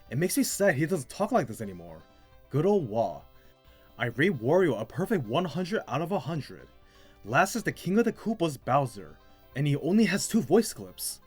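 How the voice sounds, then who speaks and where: Male video host, fairly high lively voice, slightly husky, scripted commentary, music behind.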